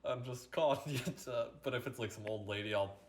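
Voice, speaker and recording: A man's voice: medium pitch, a little fast. A young man from Pennsylvania co-hosting a podcast, chatting casually and laid-back, swearing often. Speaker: podcast co-host. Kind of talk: casual chat.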